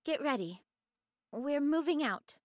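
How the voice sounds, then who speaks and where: An actress playing a brusque, stoic young squad leader in a video game, husky and terse. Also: female voice, high voice, expressive, unhurried, no echo. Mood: brusque, businesslike. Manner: terse, matter-of-fact. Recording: dry studio voice, faint game music.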